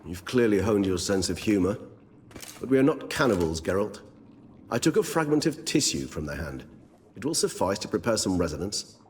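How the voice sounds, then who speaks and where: An actor playing a courteous, scholarly vampire in a video game, speaking in formal, precise, wordy sentences. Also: male voice, on the low side, big pitch swings, moderate pace, a little hiss or hum. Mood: gently amused. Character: erudite, courteous vampire scholar, wry and cautious. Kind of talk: video game dialogue acting. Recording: music behind the voice.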